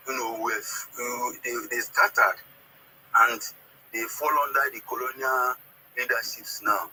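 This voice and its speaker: A man with a southern Nigerian accent, whose first language is Ika, explains things on a call-in. His mid-pitched voice is very bright and pressing, and he often repeats words as he goes. There is slight noise on the call. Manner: halting, repeats words, checks understanding. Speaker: caller. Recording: noisy call audio.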